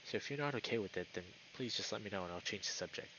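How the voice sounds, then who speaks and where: A man voicing an earnest, gentle young male buck in a visual novel, speaking softly and hesitantly with concern. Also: on the low side, lively intonation, rapid-fire, muffled recording, background noise, natural voice. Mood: careful, gentle. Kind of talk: visual novel character read aloud by a streamer. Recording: music behind the voice.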